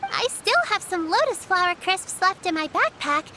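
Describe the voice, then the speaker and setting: A woman voicing a well-mannered, caring little girl in a video game, earnest, formal and gently instructive. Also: very high voice, sing-song, normal speed, slight background noise, exaggerated voice. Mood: kind, hospitable.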